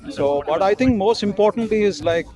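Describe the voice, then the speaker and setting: A man's voice: high-pitched, expressive, a little fast. A man with an Indian accent interviewing a fellow rider in casual conversation. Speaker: interviewer, motorcycle club rider. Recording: busy crowd chatter behind. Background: crowd chatter.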